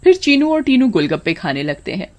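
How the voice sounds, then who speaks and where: Female narrator for an audio story, mid pitch, scripted read. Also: sing-song, brisk, a little mellow, muffled recording.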